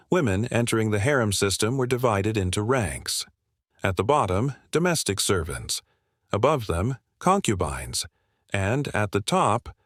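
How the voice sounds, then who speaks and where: A man narrating a scripted history documentary in a grave, measured way, delivering short dramatic phrases. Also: lowish voice, no echo, studio quality.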